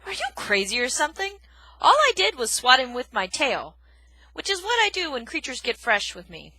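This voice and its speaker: A woman voicing a grumpy, loud old male porcupine who blusters and shouts in a storybook reading. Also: high voice, huge pitch swings, normal speed, no echo, pitched above the performer's own voice, exaggerated voice, poor audio. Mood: scornful.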